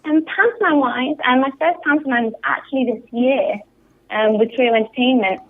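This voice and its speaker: A woman's voice: high-pitched, normal speed. A young woman with a northern English accent, chatting enthusiastically in a radio interview over a phone line. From northern England. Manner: enthusiastic, upbeat. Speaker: interviewed pantomime actress. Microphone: telephone. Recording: muffled, tinny phone line.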